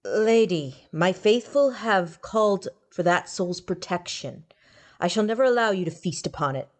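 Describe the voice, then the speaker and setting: A woman's voice: medium pitch, animated, normal speed, lightly acted. A woman voicing a stern, pompous male wind god who declaims in lofty, formal speech.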